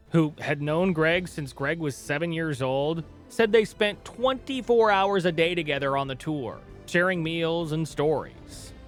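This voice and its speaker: A man narrates a sports documentary in a slightly high voice. He reads a scripted story with dramatic stress on key moments. Soft music plays quietly behind him.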